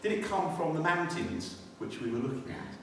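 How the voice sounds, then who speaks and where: British male church speaker, testimony and scripture, thoughtful halting delivery; long reverb, faint background noise. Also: a little high, animated. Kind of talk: personal testimony and scripture reading. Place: inside a church. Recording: echoey church hall, distant, faint noise.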